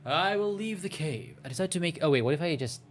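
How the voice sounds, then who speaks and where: A man's voice: a little high, expressive, fast, a little hiss or hum. A man playing a visual novel, reading the text aloud and chatting casually in a playful, rambling way, sometimes stumbling over the words. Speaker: gaming streamer.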